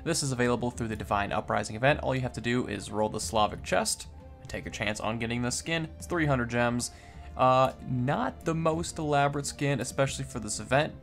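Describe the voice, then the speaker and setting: A man's voice: medium pitch, vocal fry, fairly bright tone. A young adult man giving casual game commentary as the host of a gaming video.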